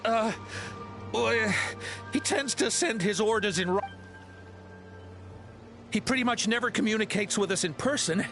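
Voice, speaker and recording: A man's voice: sits high, moderate pace, long pauses. A man voicing a cowardly, nervous henchman in a video game, hesitant and stammering as he tries to appease. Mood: nervous, deferential. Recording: game music behind the voice.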